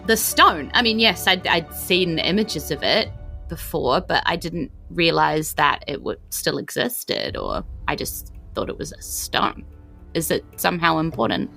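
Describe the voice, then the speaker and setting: A woman plays a stately queen of an undersea realm in a tabletop game. She sounds grand and motherly, yet flustered and halting, with music behind her. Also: low voice, lively intonation, vocal fry, pushed and bright, exaggerated voice. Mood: puzzled, deliberating.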